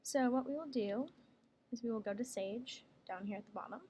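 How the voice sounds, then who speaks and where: A woman working as a software trainer, explaining each step plainly in a casual screen tutorial. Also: fairly high pitch, fairly quick, dull audio. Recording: clear background noise, room ambience.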